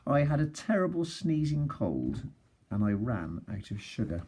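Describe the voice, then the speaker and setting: A man voicing a self-justifying, folksy wolf in an expressive children's storybook read-aloud, sounding aggrieved and mock-innocent. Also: mid-range voice, unhurried, slightly rough.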